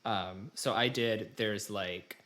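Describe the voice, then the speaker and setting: A man's voice: lowish voice, takes it slowly, fairly bright tone. A man co-hosting a casual podcast, telling an exasperated, fired-up story about video games. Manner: fired up, exasperated, mimics game prompts. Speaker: podcast host.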